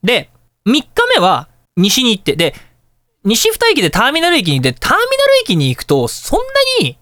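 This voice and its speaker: A man hosting a radio show, telling anecdotes in a casual, chatty, animated way. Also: sits high, normal speed, no echo, studio quality. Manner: animated, chatty storytelling with colloquial asides.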